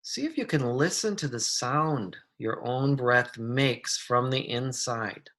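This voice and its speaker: A meditation guide with a mid-pitched voice leads a guided practice. The delivery is gentle and soothing, with unhurried phrases and long pauses between instructions. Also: animated.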